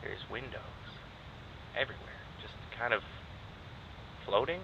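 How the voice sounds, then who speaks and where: An actor playing a wry young test subject in an audio drama, speaking informally and haltingly with a sardonic edge. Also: male voice, medium pitch, animated, creaky, muffled recording, background noise. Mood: hesitant, curious. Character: wry, rambling young man describing his memories, guarded test subject. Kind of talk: naturalistic audio drama acting.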